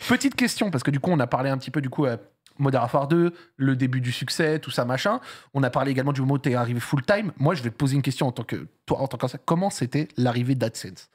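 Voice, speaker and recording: A man's voice: mid-range voice, expressive, fast, breathy edge. A young man hosting a podcast in casual chat, close on a studio microphone. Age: young adult. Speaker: podcast host. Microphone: close studio microphone on desk stand.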